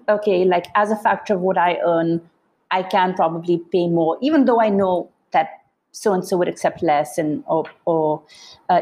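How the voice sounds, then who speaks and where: A woman's voice: medium pitch, warm tone. A woman from India, an author being interviewed, who reflects aloud with many restarts and fillers. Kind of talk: reflective conversation.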